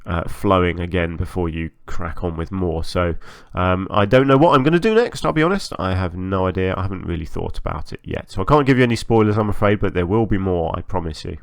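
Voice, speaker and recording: A man giving casual, chatty commentary as host of a gaming channel, a little hoarse from a long recording session, hesitant and self-effacing. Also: low voice, expressive, fairly quick, hardly any pauses, dry recording, clean studio sound. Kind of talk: casual game build commentary.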